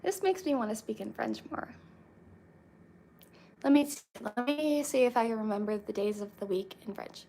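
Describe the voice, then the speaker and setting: A young woman chatting casually with her viewers, relaxed and reading comments aloud. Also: a little high, moderate pace, creaky, long pauses, slight background noise. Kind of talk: casual chat with live chat.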